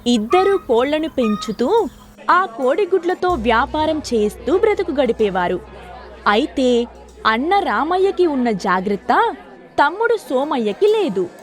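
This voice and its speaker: A woman narrating a scripted moral story for children in an expressive, clearly phrased storytelling manner. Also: high-pitched, unhurried, faint music behind, a faint crowd.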